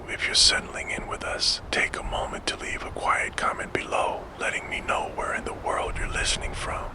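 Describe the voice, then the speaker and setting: A man narrating a scripted story in a hushed, gentle ASMR whisper, as the host of a sleep storytelling show. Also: poor audio. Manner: hushed, gentle, soothing delivery. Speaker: host and narrator of a true crime sleep channel.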